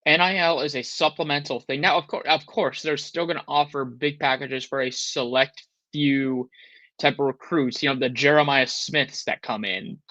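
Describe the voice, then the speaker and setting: A man's voice: medium pitch, fairly even intonation, normal speed, pushed and bright, dry recording. A man in his thirties co-hosting a sports talk show, rambling with restarts and frustrated. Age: 30s. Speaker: podcast co-host.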